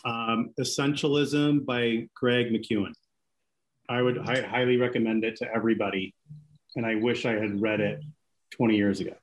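Relaxed man, halting and easygoing. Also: medium pitch, rough recording. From Seattle. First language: Greek. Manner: relaxed, halting, many restarts and fillers. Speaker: interview guest. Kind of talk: casual podcast interview.

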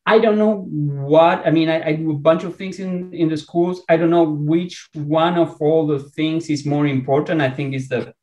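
A man from Argentina with an Argentine Spanish accent, a researcher talking in a podcast interview, enthusiastic and halting with many restarts. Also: on the high side, fairly even intonation, warm tone, roomy sound, lo-fi sound. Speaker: researcher and guest.

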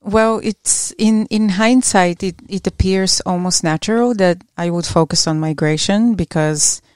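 A middle-aged woman from Bulgaria, an academic guest answering interview questions in a measured, reflective way with small restarts. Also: on the low side, a little mellow, clear, resonant tone, no room sound.

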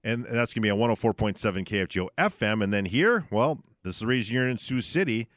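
A man's voice: fairly low pitch, fast talker, a bit bright, dry recording. Guy doing casual sports radio chat from the studio, close dry sound, conversational.

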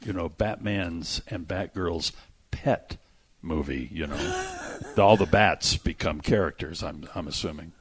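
A man's voice: sits low, unhurried, slightly rough, no room sound. A man hosting a radio show, chatting casually about movie news in a jokey, exaggerating way. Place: radio studio. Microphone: close studio microphone.

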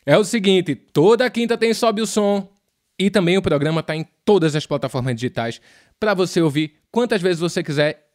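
A man with a northeastern Brazilian accent co-hosting a podcast in casual, playful slang full of pet names. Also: high-pitched. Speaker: podcast co-host. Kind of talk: casual, slangy music show chat.

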